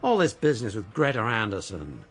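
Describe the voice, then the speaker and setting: A middle-aged man acting a formal, measured, patronising family lawyer and guardian in a radio play. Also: on the low side, huge pitch swings, breathy edge, heavily acted. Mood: probing. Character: suave, disapproving older lawyer and former guardian.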